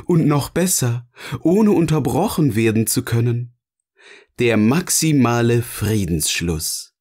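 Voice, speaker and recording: Ein Mann spielt in einem Hörspiel einen eloquenten, sardonischen Erzähler, der bedächtig und theatralisch philosophiert. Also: male voice, mid-range voice, lively intonation, takes it slowly, darkish tone. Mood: musing, relieved. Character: eloquent, sardonic male narrator finally free to speak. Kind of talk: scripted audio drama dialogue, philosophical musing.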